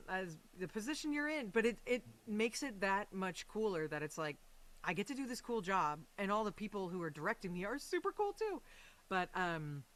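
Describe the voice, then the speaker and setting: A woman's voice: mid-range voice, fairly bright tone. A woman talking casually as an interview guest on a podcast, effusive and chatty, with slangy quoted asides.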